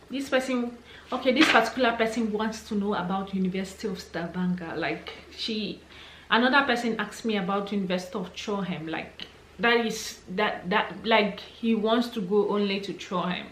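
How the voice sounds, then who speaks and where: A woman's voice: mid-range voice, fairly bright tone. A young woman, chatting casually and encouragingly as she answers viewer questions, thinking aloud as she goes. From Cameroon, English-speaking region. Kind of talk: casual Q&A chat. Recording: echoey room with faint noise.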